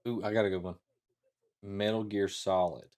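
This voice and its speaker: A man's voice: lowish voice, steady tone, moderate pace, darkish tone. A middle-aged man in casual conversation as a talk show co-host. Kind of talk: casual chat.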